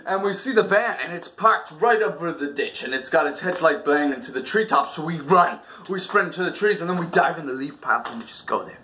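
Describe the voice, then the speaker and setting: Animated, high-pitched man telling a story. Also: brisk, few pauses. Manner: dramatic, casual, acts out dialogue and sounds. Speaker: storytelling channel host.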